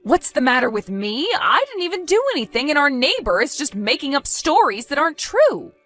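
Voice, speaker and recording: A woman's voice: high-pitched, very animated, brisk, a bit bright, soft background music. A woman acting an evasive wife in a dramatized story, sweet but easily flustered and indignant, with theatrical expression. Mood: defensive, indignant. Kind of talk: dramatized text-message story narration.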